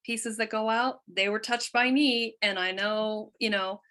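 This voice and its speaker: A woman's voice: on the high side, fairly even intonation, measured pace, bright, forceful tone. A middle-aged woman talking as a podcast guest in a casual conversation, chatty and candid, often trailing off mid-thought. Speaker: guest, quilt artist.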